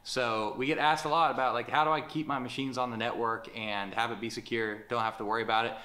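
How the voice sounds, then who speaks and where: A man's voice: medium pitch, steady tone, quick pace, bright, forceful tone. Young man, casual presenter, filler-heavy talk, moves about while explaining, large bare workshop. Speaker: company presenter. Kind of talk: casual product walkthrough.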